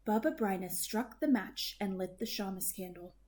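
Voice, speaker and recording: A woman narrating a children's story in an expressive, deliberate storytelling manner. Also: medium pitch.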